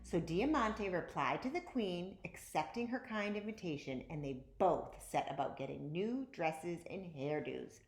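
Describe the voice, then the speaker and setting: A woman reading a children's story aloud with animated, emphatic narration. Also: lowish voice, unhurried, hardly any pauses. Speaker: storytime reader. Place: indoor room, recorded at home. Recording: roomy, echoey, faint background noise.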